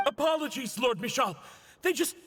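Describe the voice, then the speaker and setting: An actor voicing an apologetic, panicked mercenary underling in a video game, stammering and deferential. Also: male voice, very high voice, expressive, normal speed, a bit bright.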